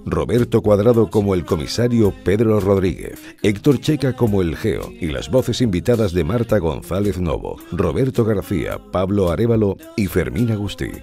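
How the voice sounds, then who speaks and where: A man's voice: sits low, warm tone. A man reading scripted credits as the announcer of an audio drama.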